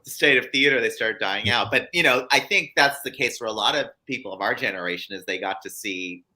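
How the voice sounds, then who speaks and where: A man's voice: mid-range voice, fairly quick, pushed and bright, low-quality recording. A man hosting a casual interview, effusive and enthusiastic, often restarting his sentences. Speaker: host, artistic director.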